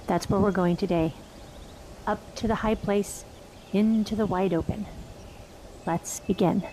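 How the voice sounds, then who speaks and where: Woman meditation guide, slow and soft-spoken, mellow tone with a little vocal fry, long pauses. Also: medium pitch, fairly even intonation, a little hiss or hum, poor audio.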